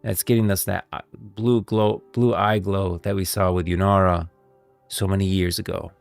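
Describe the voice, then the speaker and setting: A male streamer with a low voice full of fry talks through game lore in a casual way. The sound is dry and close, with music underneath. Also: little pitch movement, normal speed.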